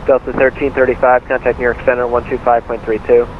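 A man's voice: medium pitch, level tone, quick pace. A man working as an air traffic controller, giving clipped procedural instructions over the radio. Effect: radio transmission. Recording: muffled narrowband radio, cockpit drone noise.

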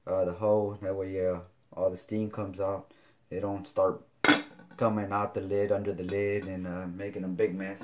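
A man, showing kitchen gear in a casual home video, talking haltingly with many fillers. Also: deep voice, little pitch movement, a little mellow, a little hiss or hum. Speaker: fitness vlogger. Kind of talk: casual product demo. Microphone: camera on counter.

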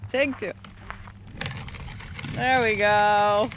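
A woman's voice: mid-range voice, steady tone, very slow, slight background noise, rough recording. A middle-aged woman chatting casually outdoors in a travel vlog, cheerful and teasing, filmed on an action camera held at arm's length. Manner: cheerful, laughing often, teasing. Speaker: vlogger. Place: outdoors by a river launch and parking lot.

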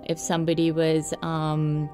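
A woman's voice: low-pitched, monotone, a little slow, music in the background. A woman from Pakistan answering interview questions earnestly in a studio, now and then laughing lightly.

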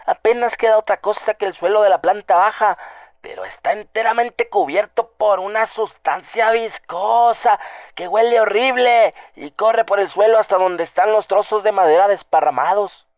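A man acts out a scared farm housekeeper woman in a radio drama. The voice is high and trembling, in a close, dry recording. She rambles on in superstitious alarm, gossiping and repeating herself. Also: moderate pace, dry recording, lo-fi sound, close to an everyday voice. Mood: terrified, urgent.

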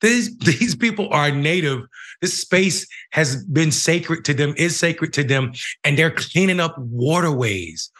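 A middle-aged man with an American accent hosting a news show, reading and commenting in a halting way with repeated phrases. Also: a little high, lively intonation, a bit bright. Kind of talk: news commentary, reading a report and giving opinion. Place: home room, indoors.

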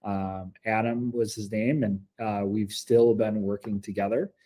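An American man in his forties giving earnest, measured testimonial answers as a school coordinator, on a video call from an office meeting room. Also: on the low side, level tone, warm tone. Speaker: school district program coordinator. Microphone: laptop video call.

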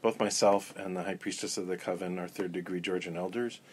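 A man guest in an interview, talking in a relaxed, rambling way with many ums and restarts. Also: sits low, a little fast, husky. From Florida. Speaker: interview guest, coven elder. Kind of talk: casual storytelling interview.

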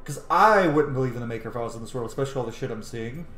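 A man's voice: medium pitch, expressive, very fast, fairly bright tone, a little hiss or hum. A man in his thirties giving casual let's play commentary, thinking aloud as he plays a role-playing game. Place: indoor home room. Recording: echoey room, game music behind.

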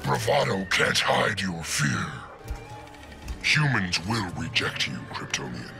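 A huge talking gorilla villain in a fighting game. He is gravelly and unhurried, full of savage contempt. Music sits behind the voice. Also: low voice, very animated, full character voice. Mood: disdainful. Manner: haughty, disdainful. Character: arrogant, savage giant talking gorilla warlord. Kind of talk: video game fight banter.

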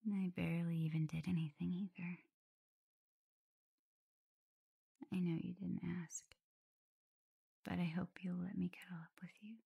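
A woman voicing a shy, affectionate young omega werewolf in an intimate ASMR roleplay, whispering softly and tenderly with gentle teasing. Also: fairly low pitch, flat delivery, brisk, heavily acted. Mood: sleepy, affectionate. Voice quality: soft, breathy whisper.

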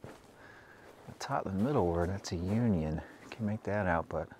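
Low-voiced guy poking around an old hangar, chatty, faint outdoor hiss. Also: a little slow. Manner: relaxed, pointing things out as he walks. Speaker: travel vlogger. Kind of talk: casual exploring commentary.

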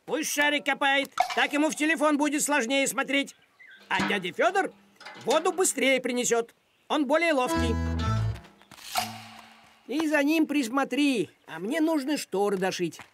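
An actor voicing a bossy, fussy talking farm cat in an animated cartoon, with a commanding, nagging, excitable manner. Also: sits high. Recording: music behind the voice.

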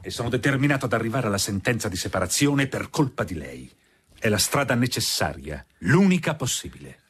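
An actor playing a stern, unforgiving middle-aged male lawyer in a radio drama, husky and formally emphatic. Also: lowish voice, very animated, fairly quick, a bit bright, a little hiss or hum. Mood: cold, determined.

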